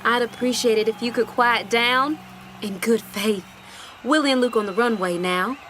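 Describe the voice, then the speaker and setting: Anxious, polite mother played by a woman, high voice, terse delivery, radio drama with faint noise. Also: moderate pace, fairly bright tone, natural voice. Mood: tense, curt. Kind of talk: stage-play dialogue for radio.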